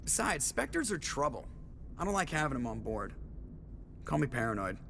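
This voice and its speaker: Gravelly, sardonic spaceship pilot. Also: male voice, mid-range voice, sing-song, normal speed, fairly bright tone, slight background noise. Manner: sardonic, wisecracking. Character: sarcastic, cocky, suspicious male spaceship pilot. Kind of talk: video game cutscene acting.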